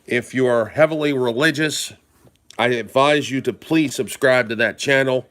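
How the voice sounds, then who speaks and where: Hoarse, stuffed-up man live-streaming. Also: mid-range voice, normal speed, a bit bright. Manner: rambling, repeats himself, greets viewers by name. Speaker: livestream host. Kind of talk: casual live-stream commentary.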